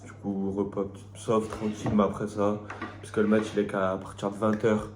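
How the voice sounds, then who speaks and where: A man's voice: on the low side, normal speed, background noise. A young man, a vlogger and player, chatting casually and talking straight to his viewers. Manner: relaxed, talks straight to viewers. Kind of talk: casual vlog chat.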